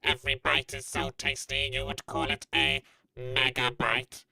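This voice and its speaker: An actor playing a cool, hard metal factory robot in a comedy sketch, speaking in a deadpan robotic way. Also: mid-range voice, unhurried, dry recording. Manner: flat robotic delivery, deadpan. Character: Maria, cool hard metal factory robot woman, game show suitor. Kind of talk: scripted comedy sketch acting.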